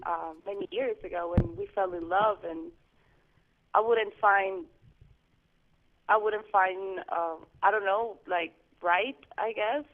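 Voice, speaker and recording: A woman's voice: mid-range voice, a little hiss or hum. A woman caller from Guatemala, heard through a narrow, tinny telephone line. She talks earnestly, feeling her way through her point with frequent restarts and hesitations. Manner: earnest, halting, restarts often. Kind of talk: call-in radio chat. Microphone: home landline phone. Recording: phone line.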